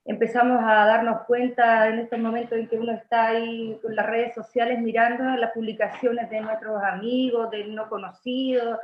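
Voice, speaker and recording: A Chilean actress and playwright talking over a video call, halting, with many restarts. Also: female voice, on the high side. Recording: echoey room, muffled lo-fi sound.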